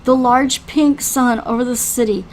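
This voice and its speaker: A woman's voice: fairly high pitch, fairly even intonation, unhurried. An older woman reading a visual novel aloud as dramatic scripted narration. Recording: faint background noise.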